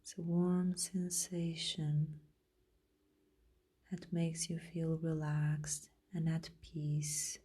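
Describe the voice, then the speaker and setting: A woman leading a guided meditation in a gentle, soothing, unhurried way with long pauses, her voice soft and at times breathy. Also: low-pitched, little pitch movement. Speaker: meditation guide, podcast host.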